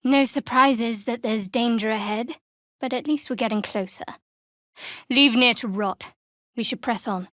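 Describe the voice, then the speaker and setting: A young female cleric sworn to a dark goddess in a fantasy video game. Her voice sits a little high, with a measured, cool and guarded delivery. She sounds secretive and dry in manner, captured in a very dry studio-like recording. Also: dry recording. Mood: thoughtful, dry, then cold, disdainful. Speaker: video game character actor.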